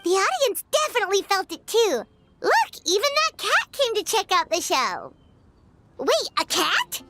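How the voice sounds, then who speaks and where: A woman's voice: very high voice, huge pitch swings, a little slow, exaggerated voice. A woman voicing a tiny, childlike fairy companion in a video game, chirpy, perky and boastful. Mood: excited. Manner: chirpy, boastful, refers to herself by name. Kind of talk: video game character acting. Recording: clear music behind the voice.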